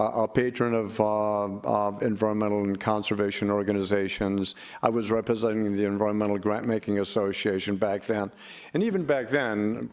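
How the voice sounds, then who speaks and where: Halting older man speaking on a panel. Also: on the low side, a little mellow. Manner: halting, hesitant, rambling. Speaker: panel speaker for a relief organization. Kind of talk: unscripted conference panel talk.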